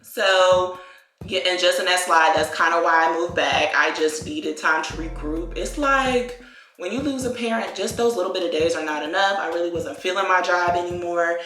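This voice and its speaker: A young woman from Virginia talks through her life story in easy, rambling chat, filling it with likes and y'alls. Her voice sits a bit low but sounds very bright. The room echoes around her, with soft music playing underneath. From Virginia, USA. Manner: chatty, drawn-out phrases, lots of likes and y'all. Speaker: beauty vlogger. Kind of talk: casual get-ready-with-me chat.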